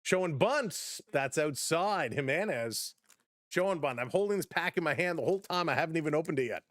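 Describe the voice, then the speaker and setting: A chatty, upbeat man calling a baseball game live for his stream, breaking off to welcome viewers by name. His voice sits fairly high and has gone slightly hoarse from talking. The sound is dry and close, with no room echo. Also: animated, a little fast. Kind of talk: casual play-by-play with chat reactions.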